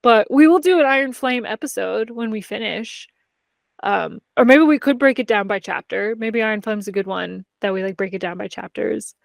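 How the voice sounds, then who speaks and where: A woman's voice: medium pitch, moderate pace, creaky, no room sound, studio quality. A woman chatting casually and candidly about books as a podcast co-host, leaning on "like" as she talks. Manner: informal, candid, heavy use of "like". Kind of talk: casual book chat between friends.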